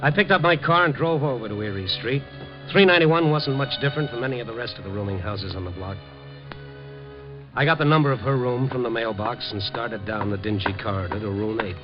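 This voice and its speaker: An actor playing a streetwise, wry newspaper reporter in a 1950s radio mystery, talking in a hard-boiled, wisecracking way. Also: male voice, medium pitch, brisk, close to an everyday voice. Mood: terse, brooding. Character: streetwise, persistent male newspaper reporter narrating his night beat. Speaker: actor and narrator in a radio drama. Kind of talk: 1950s radio mystery acting with first-person narration. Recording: dull vintage 1950s radio recording, music behind.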